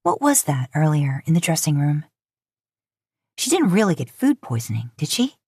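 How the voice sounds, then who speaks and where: A woman's voice: low-pitched, sing-song, normal speed, a little mellow, breathy edge, no echo, studio quality, exaggerated voice. A woman voicing a suspicious young girlfriend in an audiobook story, pointed and brittle in her politeness. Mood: annoyed, suspicious.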